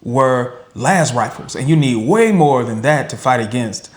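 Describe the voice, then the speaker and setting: A man with a medium-pitched voice co-hosts a reaction show. He gives conversational commentary, laying out his thoughts in a measured, orderly manner. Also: lively intonation.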